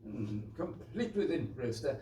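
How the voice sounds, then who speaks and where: A man's voice: mid-range voice, big pitch swings, moderate pace, natural voice. A man playing a pompous, greedy, blustering squire and landlord in a stage pantomime. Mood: smug, menacing. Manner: blustering, pompous, hesitant mumbled asides. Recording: echoey noisy stage, recorded from far off.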